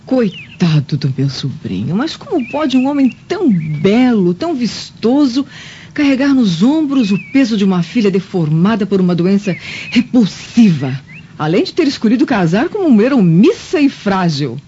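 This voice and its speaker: A woman's voice: mid-range voice, big pitch swings, moderate pace, dark tone, lightly acted. A woman playing a haughty, scornful Roman noblewoman in a radio drama, speaking in a pompous, disdainful way. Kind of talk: radio drama acting in Brazilian Portuguese. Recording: dull lo-fi audio, faint background noise.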